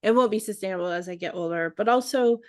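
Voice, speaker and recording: A woman's voice: medium pitch, quick pace, darkish tone, clean tone, no room sound, clean studio sound. A woman in her forties co-hosting a podcast, chatting casually with frequent laughs and restarts. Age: 40s. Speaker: podcast co-host. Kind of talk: casual chat.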